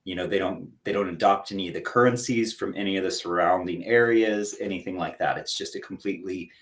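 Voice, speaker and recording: A man's voice: low-pitched, fast talker. A man co-creating a podcast, earnestly explaining his ideas in casual chat.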